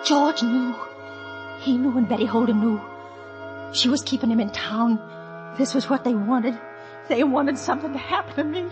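A woman's voice: very high-pitched, lively intonation, brisk, over loud music. An actress playing a terrified, frantic married woman in a 1940s radio suspense drama, breathless and overwrought. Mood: anxious, dread.

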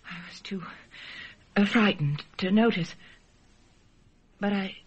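A woman's voice: mid-range voice, slow, slightly rough, lo-fi sound. A woman acting a nervous, insistent woman with hesitant, polite speech in a radio drama. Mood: terrified, ashamed. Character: frightened, insistent young woman, Cousin Susan, in a ghost story.

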